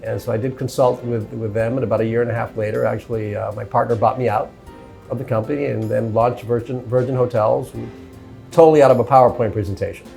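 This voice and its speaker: Gravelly-voiced man telling his career story. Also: mid-range voice, steady tone, quick pace, warm tone, faint music behind. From born in Cuba, raised in Miami. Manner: easygoing, sprinkles "you know" and "uh". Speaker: hotel company executive, interview guest. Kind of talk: relaxed conversational storytelling.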